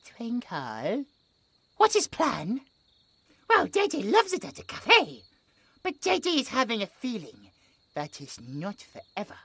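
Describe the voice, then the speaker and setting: A British man voicing a gentle, childlike possessed male creature who talks about himself in the third person with broken grammar. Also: very high voice, wildly swooping pitch, measured pace, pauses often, husky, heavily acted. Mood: cheerful, curious. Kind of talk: horror audio roleplay.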